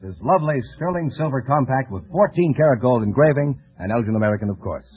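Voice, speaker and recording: A man's voice: mid-range voice, expressive, fast talker, dry recording. A man announcing a 1940s radio show in a polished, salesmanlike way, reading scripted copy. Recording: vintage radio broadcast, dull husky sound.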